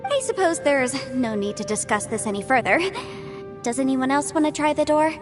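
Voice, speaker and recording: A woman voicing an earnest, thoughtful young female legal advisor who speaks in a measured, articulate way. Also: sits high, lightly acted. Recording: game audio with music. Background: game music.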